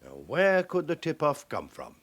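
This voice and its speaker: An actor playing a suspicious, methodical police chief inspector in a radio drama, reasoning deliberately through leading questions. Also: male voice, fairly high pitch, wildly swooping pitch. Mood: pensive, pointed. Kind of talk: naturalistic radio drama acting.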